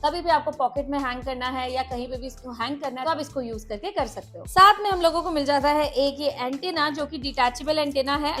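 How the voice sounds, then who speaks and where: A woman's voice: sits high, fairly bright tone. A woman with an Indian accent presenting a casual product review, explaining step by step and mixing in English words.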